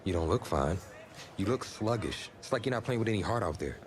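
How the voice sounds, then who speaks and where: A man's voice: lowish voice, expressive, brisk, a crowd in the background, lightly acted. A man playing a confident, earnest young backup quarterback in a video game cutscene, talking casually. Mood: earnest, concerned. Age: young adult.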